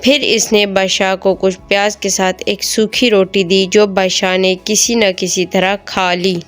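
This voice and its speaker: A woman's voice: mid-range voice, steady tone, measured pace. Woman narrating a story over music. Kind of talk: scripted moral story narration. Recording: music behind the voice.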